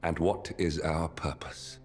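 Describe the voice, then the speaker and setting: An actor playing a grim, haunted rebel out for revenge in a video game, speaking with grave intensity. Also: male voice, extremely low pitch, steady tone, slow. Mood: grim, urgent. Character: grim vengeful male rebel, haunted survivor of cruel institute. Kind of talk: dramatic video game cutscene acting.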